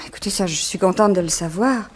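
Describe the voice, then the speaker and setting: A woman playing a polite, genteel young hostess in a 1960s French radio drama, with a lively manner. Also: medium pitch, fairly quick, close to an everyday voice.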